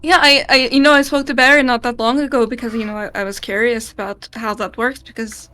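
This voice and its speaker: A woman's voice: a little high, steady tone, fast, bright, forceful tone. A woman playing a tabletop game, rambling a little and tentative. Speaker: player. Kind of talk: in-character tabletop roleplay.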